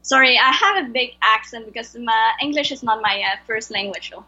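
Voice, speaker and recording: A woman's voice: on the high side, expressive, fairly quick, sharp tone, highs cut off. A woman whose first language is Vietnamese, speaking English with a French accent, hesitantly answering interview questions as a guest over a video call. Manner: hesitant, searching for words. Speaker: guest translator.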